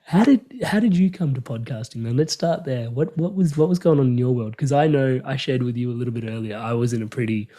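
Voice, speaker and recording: A man's voice: medium pitch, quick pace, few pauses. A man hosting a casual podcast interview, enthusiastic and effusive as he introduces his guest. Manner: enthusiastic, warm-hearted and effusive. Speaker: podcast host.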